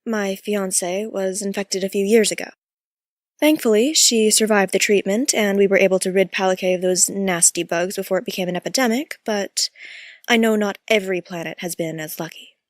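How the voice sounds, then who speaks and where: A woman plays a courteous, composed alien ruler in an audio drama. She speaks in a polite, formal and tactful way. Her mid-pitched voice is recorded dry. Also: moderate pace, dry recording, lightly acted. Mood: calm, tactful.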